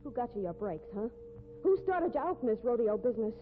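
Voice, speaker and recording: An actress playing a tough, jealous, scolding fiancée of a cowboy in a 1950s radio drama, with American accent and blunt folksy slang. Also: female voice, on the high side, very animated, quick pace, narrow, tinny audio. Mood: jealous, demanding. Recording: thin, noisy vintage radio recording.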